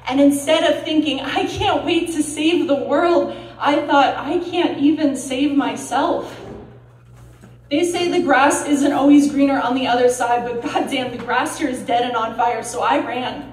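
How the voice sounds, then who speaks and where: A woman reciting slam poetry in a deliberate, dramatic, emotionally intense way, with pauses and emphasis. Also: high-pitched. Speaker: slam poet. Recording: roomy echo, distant mic.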